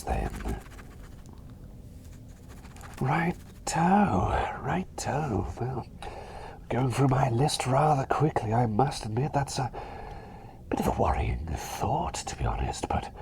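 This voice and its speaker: A man playing a cheery, self-doubting plague doctor in an ASMR roleplay, whispering breathily and softly, with hesitant, repetitive rambling that seeks approval. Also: mid-range voice, a little hiss or hum, heavily acted. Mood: unsure, reassuring. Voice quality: breathy whisper mixed with soft voice. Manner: hesitant, rambling, repeats phrases, eager for approval.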